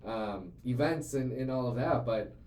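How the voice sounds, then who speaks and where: A man's voice: mid-range voice, unhurried, a little mellow. Earnest young man in a roomy office. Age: 20s. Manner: rambling, repeats words, fillers, earnest. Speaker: CEO and show host. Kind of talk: casual conversational podcast chat. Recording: roomy echo, faint ambient noise.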